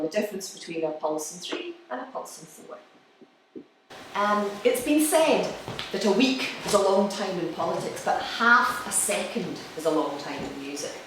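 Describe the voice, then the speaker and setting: A woman giving a live explanatory talk as a musician, with halting pauses. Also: medium pitch. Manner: explanatory, halting pauses, counts beats aloud. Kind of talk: live lecture with demonstrations. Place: indoor room with an audience. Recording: distant mic, very echoey room, faint noise.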